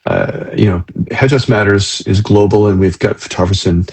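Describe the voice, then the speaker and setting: A man's voice: on the low side, normal speed, creaky, a little mellow, husky. A man hosting a conversational interview, speaking reflectively and hesitantly, repeating words as he searches for phrasing. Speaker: interview host.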